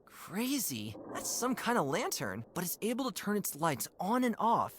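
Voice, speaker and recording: A young actor voicing an earnest, puzzled animal adventurer in a cartoon game, wondering aloud with expressive observations. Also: mid-range voice, moderate pace, a little hiss or hum. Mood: curious.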